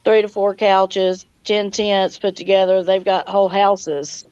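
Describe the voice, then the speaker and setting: A woman's voice: mid-range voice, fairly even intonation, measured pace, a little mellow, clear, resonant tone, dry recording. A woman hosting a casual live chat, speaking in a folksy way and greeting guests and viewers with y'all. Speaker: live chat stream host. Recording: dull lo-fi audio.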